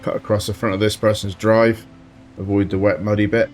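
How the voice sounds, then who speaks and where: A man with a slight sore throat giving relaxed commentary while playing a driving game, thinking aloud as he goes. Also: lowish voice, a little fast, soft background music. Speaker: let's play host. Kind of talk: casual gameplay commentary.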